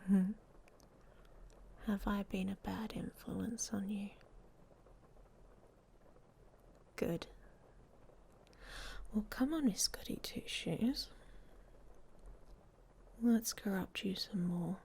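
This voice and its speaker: A woman playing a hot-tempered, protective she-wolf in an intimate ASMR roleplay, with a husky, breathy voice and a blunt, sardonic manner. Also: mid-range voice, takes it slowly, long pauses, a little mellow, slight background noise. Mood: teasing, intimate. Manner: sardonic, blunt, speaking straight to the listener.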